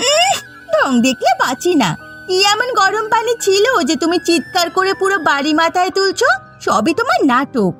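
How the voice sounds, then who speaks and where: High, scornful scheming village daughter-in-law played by a woman. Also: very animated, normal speed, a bit bright, faint music behind, heavily acted. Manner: scornful, bossy, scolding. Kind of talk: animated moral story voice acting.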